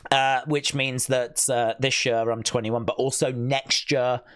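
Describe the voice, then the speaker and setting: A man's voice: medium pitch, flat delivery, unhurried, fairly bright tone, clear, resonant tone. A man hosting a wrestling review show, chatting informally and reading out comments with jokey asides. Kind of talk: casual chat, reading out viewer comments.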